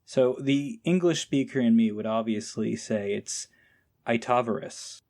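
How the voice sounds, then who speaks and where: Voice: mid-range voice, a little slow, a little mellow. An easygoing podcast guest chatting casually in a hesitant, self-deprecating way. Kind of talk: casual chat.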